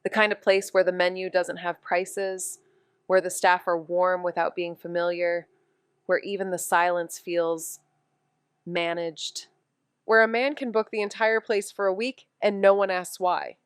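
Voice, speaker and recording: A woman playing a wry, deadpan young amateur detective in an audio drama, both in dialogue and noir narration. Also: on the low side, clean tone, no echo, clean studio sound, lightly acted.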